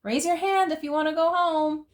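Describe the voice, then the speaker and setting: Woman playing an eager, high-pitched mischievous school kid. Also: moderate pace, lightly acted. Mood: rowdy. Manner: eager, excitable. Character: exuberant, mischievous second-grade child in a picture book.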